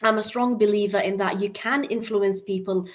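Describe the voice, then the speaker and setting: Woman from Iraq, 30s, motivational speaker; earnest, halting delivery, muffled call audio. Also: mid-range voice, fairly even intonation. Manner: earnest, with many restarts and fillers. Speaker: summit speaker. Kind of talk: motivational talk.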